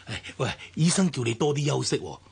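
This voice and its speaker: A man's voice: medium pitch, lively intonation, fairly quick, gravelly, a little mellow, breathy edge, full character voice. A man acting an anxious, placating company deputy manager in a Cantonese radio drama from Hong Kong, stammering and soothing. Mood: concerned, soothing.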